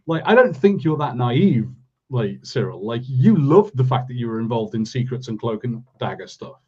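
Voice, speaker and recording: A man's voice: medium pitch, sing-song, normal speed, breathy edge, highs cut off. A man co-hosting a podcast, talking through a review casually in a rambling, questioning way. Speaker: podcast co-host.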